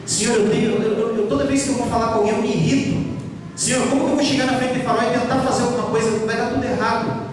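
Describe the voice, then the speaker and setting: A high-voiced man preaching with passion in a church hall, recorded from far off, with a long, booming echo. He swings between rising exhortation and quiet asides, and acts out the biblical figures as he tells their words. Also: a little hiss or hum. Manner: impassioned, rising and falling, acts out biblical speech. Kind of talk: sermon.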